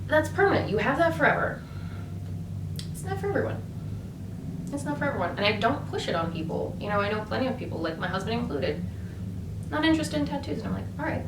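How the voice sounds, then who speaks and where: A woman vlogger talking about her own choices in a casual, emphatic way, with many fillers and rising bursts of emphasis. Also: mid-range voice. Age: adult. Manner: animated, emphatic, lots of fillers. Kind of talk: casual opinion monologue. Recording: echoey room, mic at a distance, background noise.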